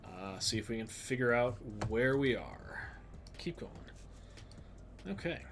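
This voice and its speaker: A man with a stuffed-up nose, thinking aloud slowly with lots of fillers as he streams a geography game. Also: medium pitch, lively intonation, a bit bright, slight background noise. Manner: slow, musing, thinks aloud with many fillers. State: stuffed up, sniffly. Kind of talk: casual live-stream commentary.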